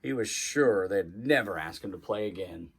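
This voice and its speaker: A man reading a children's story aloud as a guest reader, in an expressive way that acts out the dialogue. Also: on the low side, moderate pace, a little hiss or hum.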